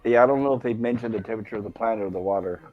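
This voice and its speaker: A man co-hosting an online review stream in casual fan discussion, talking haltingly and repeating words as he thinks. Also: on the low side, no room sound. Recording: dull lo-fi stream audio, dry.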